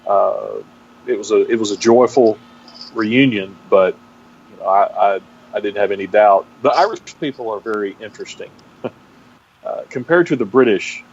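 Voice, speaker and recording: Halting Texan man on dull audio. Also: lowish voice, vocal fry. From Texas, United States. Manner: halting, searching for words with repeated false starts. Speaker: interview guest, pastor and seminary teacher. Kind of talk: reflective interview talk. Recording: dull, band-limited audio with faint noise.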